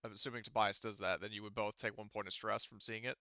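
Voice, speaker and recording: A man's voice: fairly low pitch, little pitch movement, quick pace, pushed and bright, dry recording, lo-fi sound. A man running a casual tabletop roleplaying session as game master, explaining rules and thinking aloud as he goes.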